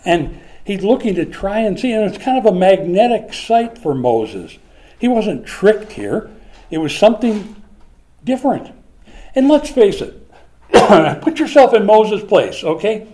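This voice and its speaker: Preacher with a mellow, dark voice. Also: male voice, high voice, measured pace. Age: older. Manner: animated, swings from loud to hushed. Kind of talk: conversational Bible teaching.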